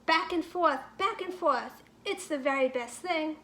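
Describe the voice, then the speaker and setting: A woman's voice: high voice, measured pace, sharp tone. A woman voicing a playful female monkey in a storybook, urging a friend along with exclamations. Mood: cheerful urging.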